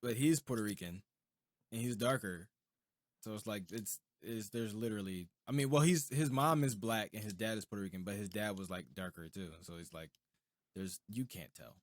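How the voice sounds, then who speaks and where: A man's voice: fairly low pitch, normal speed, no room sound. Young man on a dry, close podcast mic. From United States. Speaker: podcast co-host. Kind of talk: casual chat.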